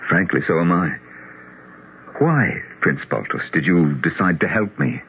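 A man's voice: low voice, lively intonation, unhurried. A man playing a weary, earnest psychologist, grave and hesitant, in a 1970s radio drama. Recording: noisy, narrowband old radio recording.

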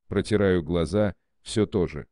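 A man's voice: sits low, a little slow, dark tone, dry recording, studio quality. A man reading narrative prose aloud in short first-person sentences.

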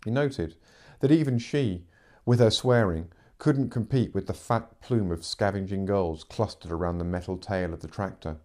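A man's voice: low voice, normal speed, darkish tone. A middle-aged man reading fiction aloud as a narrator, in dramatic audiobook style. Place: living room, seated in armchair.

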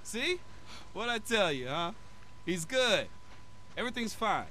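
An actor playing a young American apocalypse survivor, protective and talkative, speaking informally and expressively in a video game. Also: male voice, sits high, big pitch swings, unhurried, sharp tone, slight background noise, full character voice. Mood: reassuring. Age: young adult. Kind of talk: naturalistic video game acting.

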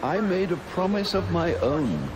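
An actor playing an older, dutiful butler in a video game, speaking formally with dry, gently chiding concern. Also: male voice, sits high, huge pitch swings, measured pace, heavy background noise, exaggerated voice. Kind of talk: video game cutscene acting.

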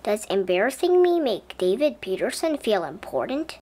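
A woman reading a children's story aloud in an expressive, deliberate storybook style. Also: a little high. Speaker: children's book reader. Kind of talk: expressive scripted storybook narration as a young boy.

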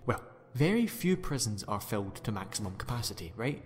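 A man's voice: on the low side, sing-song, a little fast. An actor playing an upbeat, eccentric male time-traveller who speaks lightly, in an amateur audio drama. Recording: reverberant hall with music.

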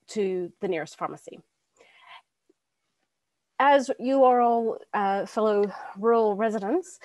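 A woman in her forties with an American accent, a rural GP presenting over a video call in an earnest, animated way. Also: medium pitch, no room sound. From United States. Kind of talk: webinar presentation. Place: home room on a video call. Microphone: headset earbud mic on video call. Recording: dry, slightly distant call audio.

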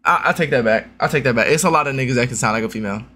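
A man's voice: medium pitch, very fast, sharp tone, slight background noise. A young man streaming a reaction on a headset, brash and profane, full of loose slang. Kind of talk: reaction commentary.

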